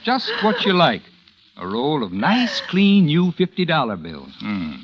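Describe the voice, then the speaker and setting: An actor playing a conceited, cold writer who also narrates, in a 1940s radio drama. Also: male voice, fairly high pitch, wildly swooping pitch, a little slow, fairly bright tone, a little hiss or hum, heavily acted. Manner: smug, dismissive, curt. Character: cold, conceited man-about-town writer. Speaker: narrator and lead character. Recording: old radio broadcast.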